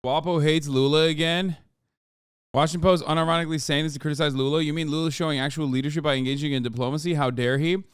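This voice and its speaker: A man's voice: a little high, steady tone, fast, clear, resonant tone, no room sound, studio quality. A man streaming live, reacting to clips and articles in a blunt, profane, self-mocking way.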